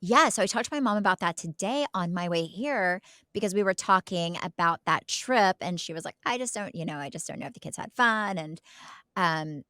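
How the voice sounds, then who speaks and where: A woman's voice: lowish voice, animated, fairly quick, sharp tone, no echo, clean studio sound. A middle-aged American woman from Oklahoma co-hosting a podcast, rambling through family stories in an emphatic, chatty way. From Oklahoma, United States. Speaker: podcast co-host. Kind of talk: casual chat, telling family anecdotes.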